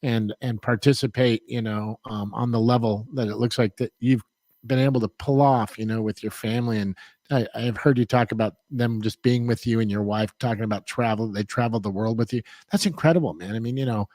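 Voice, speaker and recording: A man with a lowish voice speaks earnestly, padding his sentences with lots of fillers. The sound is close and dry. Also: fairly even intonation, brisk, darkish tone, dry recording. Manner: earnest, filler-heavy, complimentary. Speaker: co-host. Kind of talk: casual podcast chat.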